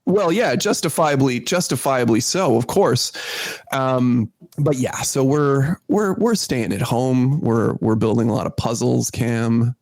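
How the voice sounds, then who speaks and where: A man's voice: medium pitch, a little slow, darkish tone, dry recording, studio quality. A Canadian man co-hosting a podcast in casual chat, congested with a cold, often repeating his phrases. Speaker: podcast co-host.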